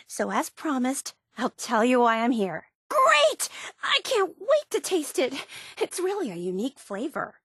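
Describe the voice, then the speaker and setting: An obsessive, wide-eyed young woman fan in a video game. Her voice is high and very animated, recorded close and dry. She speaks with gushing, earnest enthusiasm. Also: no echo, heavily acted. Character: bubbly, obsessive young woman superfan of a soda theme park. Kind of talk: video game character voice acting.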